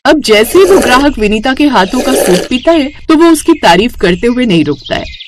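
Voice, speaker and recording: A woman's voice: high-pitched, very animated, normal speed. A woman reading scripted story narration as the narrator, with faint noise in the recording.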